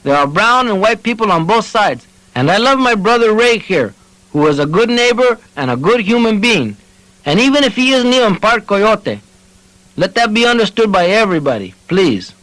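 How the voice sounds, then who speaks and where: A man's voice: sits high, very animated, moderate pace, fairly bright tone, no echo, voice pushed up, poor audio. A man voicing an emotional, indignant old villager in an audiobook, earnest and pleading as he stammers out his piece.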